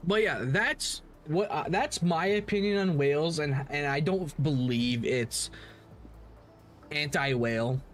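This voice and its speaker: Opinionated man streaming, slightly high voice over music. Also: unhurried. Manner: rambling, opinionated, casually profane. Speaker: livestream host. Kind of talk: casual livestream commentary on games, answering chat.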